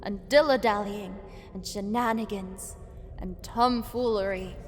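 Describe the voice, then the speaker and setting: A woman playing an officious, exasperated fae court prosecutor, formal and deadpan, in a fantasy audio drama. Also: mid-range voice, unhurried, close to an everyday voice. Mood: solemn, disdainful. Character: officious, exasperated female fae prosecutor reading out absurd charges. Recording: noisy, long echoing hall reverb.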